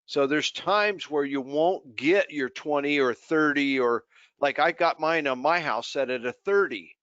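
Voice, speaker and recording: A man's voice: fairly high pitch, a little slow, fairly bright tone, smooth, no echo. A man giving casual technical advice as a co-presenter in a live chat, explaining things in informal, folksy phrasing. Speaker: technical co-presenter, trainer for a pump maker. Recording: dull lo-fi online call audio.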